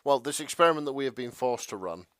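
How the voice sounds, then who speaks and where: A man's voice: mid-range voice, expressive, quick pace, fairly bright tone. A man co-hosting a podcast in casual chat, agreeable and wry. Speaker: podcast co-host.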